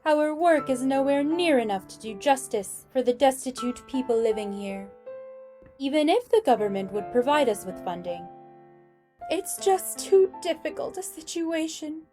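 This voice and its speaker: A woman acts out a sociable, gracious lady who runs a charity home. Her voice is high and soft-toned, moving animatedly through polite, effusive phrases. It is radio drama with music underneath. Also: animated, moderate pace. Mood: polite, concerned.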